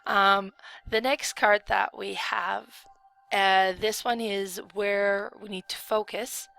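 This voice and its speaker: A woman's voice: mid-range voice, flat delivery, slow, gravelly, bright, forceful tone. A woman giving a casual tarot reading on video, explaining each card in a chatty way with frequent ums. Her voice is still recovering from a cold. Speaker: tarot reader.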